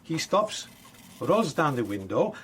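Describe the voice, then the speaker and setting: An Italian police officer in a radio drama. He is earnest and deliberate, with accented, slightly stilted English and a higher, swooping voice. Faint ambient noise sits behind him. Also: big pitch swings. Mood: earnest, explanatory. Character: earnest, matter-of-fact Italian policeman explaining a murder case. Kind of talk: naturalistic radio drama acting.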